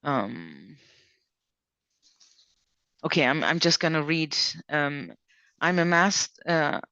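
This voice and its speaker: A woman's voice: deep voice, very animated, slow pace, sharp tone, no echo. A woman presenting a programme in an informal online question session, reading questions out and explaining rules step by step. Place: online video conference call. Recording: dull online-call audio.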